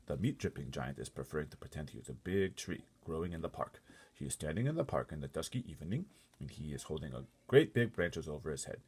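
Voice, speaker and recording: Guy doing a big friendly giant from a kids book, earnest n folksy, kinda raspy, muddled words, very up and down. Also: rumbling low, darkish tone, heavily acted. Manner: muddled grammar and made-up words, emphatic. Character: big gentle kindly giant, earnest, folksy and mangled grammar. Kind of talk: storybook character voice.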